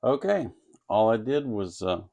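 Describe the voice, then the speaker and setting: A man with a low voice and plenty of gravelly creak hosts an informal electronics tutorial. He explains things step by step in a plainspoken, folksy way, narrating the work as he goes. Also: big pitch swings, measured pace, mellow. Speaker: host of an electronics kit-building channel.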